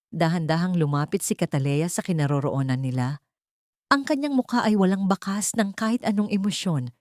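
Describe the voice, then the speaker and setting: Female narrator for melodramatic audio fiction: slightly low pitch, highly animated intonation, scripted delivery. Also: no room sound, clean studio sound. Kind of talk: dramatic scripted story narration.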